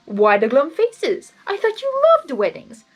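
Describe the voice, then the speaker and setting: A smug male spirit of chaos, loud and theatrical, sneering at everyone around him, as a woman puts him on in a storybook reading. His lines swing widely in pitch. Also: huge pitch swings, a little slow, faint music behind, exaggerated voice. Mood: smug mocking. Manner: mocking and theatrical.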